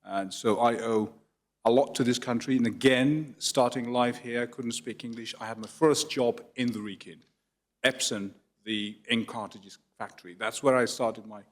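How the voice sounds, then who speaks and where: A man's voice: medium pitch, steady tone, normal speed. A man from Afghanistan speaking to a theatre audience as a candidate, earnest and personal, with some self-deprecating humour. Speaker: parliamentary candidate. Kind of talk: candidate's opening statement. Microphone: handheld microphone.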